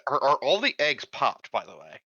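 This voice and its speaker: A man's voice: mid-range voice, wildly swooping pitch, normal speed, bright, forceful tone, husky, no echo, highs cut off. A man chatting casually as a tabletop game player, hesitant and stammering.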